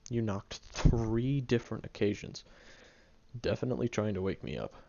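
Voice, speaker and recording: A man voicing a grumpy tsundere teenage boy who is gruff but caring, grumbling, sighing and muttering half-whispered asides in an audio roleplay. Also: lowish voice, animated, normal speed, mellow, dull audio, a little hiss or hum. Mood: gruff concern.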